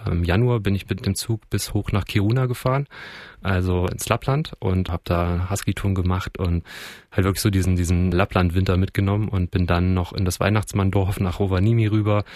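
A man with a deep voice talks casually about his own experiences. The sound is close and dry, as in a recorded interview. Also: fairly even intonation, fairly quick, a little mellow, dry recording. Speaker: interviewee recalling travels. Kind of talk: casual personal storytelling.

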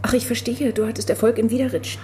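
Actress as guarded wife, radio drama, mellow dark timbre, wry, reserved, faint hum behind. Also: female voice, on the high side, fairly even intonation, fast, lightly acted. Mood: wry, sceptical. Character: dry, sceptical wife of the manager, quietly guarded. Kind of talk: naturalistic German radio drama acting.

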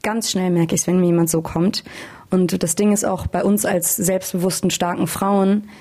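A woman's voice: lowish voice, steady tone, moderate pace, darkish tone. A young woman from Hamburg, a singer and guest, talking candidly in a casual interview and laughing at herself. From Hamburg, Germany.

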